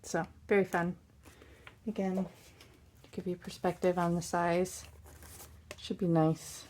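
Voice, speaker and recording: A hoarse woman hosting a casual crafting unboxing from her craft desk, chatty and bubbly, with little asides and puns. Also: on the low side, little pitch movement, takes it slowly, a little mellow, a little hiss or hum. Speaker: crafting channel host.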